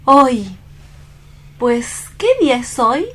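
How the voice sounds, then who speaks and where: A high, unhurried voice of a fragile young woman in radio theatre. She is weary and anxious, and her speech falters as if she is holding something back. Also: a bit bright, heavily acted. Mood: pensive. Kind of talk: radio theatre dramatic reading.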